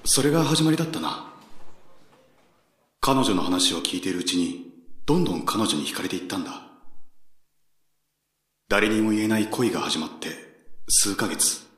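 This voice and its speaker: An actor playing a weary, gentle married office manager in a radio drama, soft-spoken and hesitant. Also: male voice, fairly low pitch, expressive, normal speed, long pauses, breathy edge. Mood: gentle, reflective. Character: weary married male department manager, gentle, apologetic, secretly in love. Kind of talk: Japanese radio drama dialogue and inner monologue.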